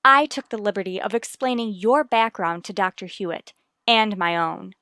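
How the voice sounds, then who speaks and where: A woman's voice: medium pitch, moderate pace, bright, forceful tone, clear, resonant tone, no echo, clean studio sound. An actress playing an earnest, determined wife and worried mother in a radio soap, speaking in a firm, formal, reasoning way. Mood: earnest, pleading. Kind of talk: radio soap opera acting.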